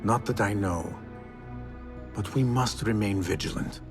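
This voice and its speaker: An actor playing a stern, determined male assassin in a game cutscene, speaking tersely. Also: unhurried. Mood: resolute. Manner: low, terse, resolute. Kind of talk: video game cutscene acting. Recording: music playing behind.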